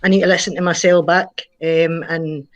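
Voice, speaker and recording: A woman's voice: on the low side, little pitch movement, normal speed, lo-fi sound. A woman with a Glaswegian and Galloway Irish accent, a community radio presenter talking candidly as a live interview guest. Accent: Glaswegian and Galloway Irish mix. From Scotland. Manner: candid, self-critical, uses "aye".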